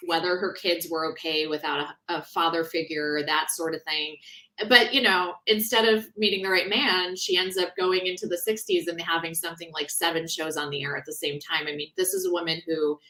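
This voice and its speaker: Chatty, slightly low-voiced woman author. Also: steady tone, fairly quick, bright, forceful tone, low-quality recording. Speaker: author being interviewed about her book. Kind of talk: casual book discussion.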